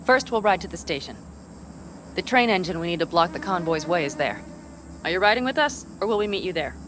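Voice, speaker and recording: Actress as tough nomad leader lady in a game, blunt n brisk, slightly low voice, bit of noise. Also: expressive, natural voice. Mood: commanding. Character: tough, determined young female nomad leader. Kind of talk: video game dialogue.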